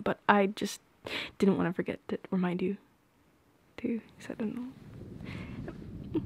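Young female, acted nervous sweetheart for intimate ASMR roleplay: high, hushed, very slow and halting delivery. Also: no echo, heavily acted. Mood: nervous, embarrassed.

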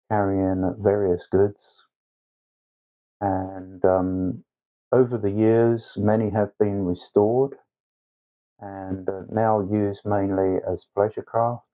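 Male painting instructor, lowish pitch, slow hesitant step-by-step delivery, slightly muffled recording. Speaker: watercolour tutor. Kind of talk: instructional painting tutorial narration.

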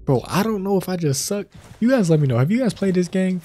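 A man with a stuffy nose from a cold, hosting casual gameplay commentary, chatty and prone to excited outbursts. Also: high voice, quick pace. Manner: chatty, addresses viewers as fellas, excited outbursts. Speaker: gaming channel host. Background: faint game gunfire.

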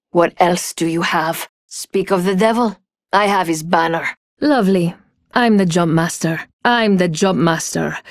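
Scornful sultry thief for a game, lowish voice, really dry sound. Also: female voice, dry recording.

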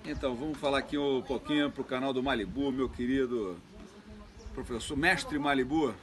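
A man's voice: medium pitch, steady tone, normal speed, a bit bright. A man in his forties casually introducing his father for a tribute, with frequent fillers. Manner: friendly, filler-heavy, frequent "né?". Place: outdoor garden.

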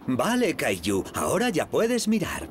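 Animated, playful cartoon train conductor. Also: male voice, fairly high pitch, measured pace, music in the background. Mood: playful, kindly. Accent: Castilian Spanish. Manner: animated, encouraging, addressing a small child. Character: friendly, playful adult male train conductor. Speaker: cartoon voice actor. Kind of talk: children's cartoon dubbing.

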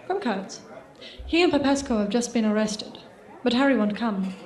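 A woman's voice: fairly high pitch, expressive, normal speed, close to an everyday voice. An actress playing a proud, bitter, loyal woman in love in an emotional radio drama. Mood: bitter, scornful. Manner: emotional, reproachful. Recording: echoey hall with noisy ambience.

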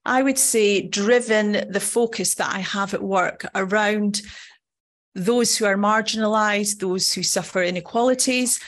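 A woman's voice: mid-range voice, a little slow. A middle-aged woman from Scotland with a Scottish accent, giving a personal conference talk in a conversational, earnest manner. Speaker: nursing professor, conference speaker.

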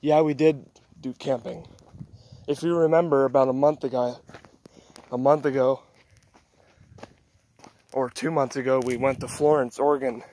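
A man's voice: mid-range voice, fairly even intonation, moderate pace, pauses often, darkish tone. An American man chatting casually for an outdoor vlog, blunt, foul-mouthed and self-deprecating. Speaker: vlogger. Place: outdoors on a lakeshore. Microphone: handheld camera held by speaker. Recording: wind and outdoor noise, clicks.

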